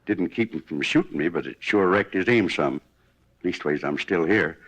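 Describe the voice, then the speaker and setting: An actor playing a talkative, homespun cafe owner from Kansas who was shot, in a 1950s radio drama. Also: male voice, deep voice, lively intonation, moderate pace, a bit bright, heavily acted. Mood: folksy. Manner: rambling, homespun, plain-spoken. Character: folksy, talkative wounded cafe owner, former pitcher. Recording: dull vintage 1950s radio broadcast.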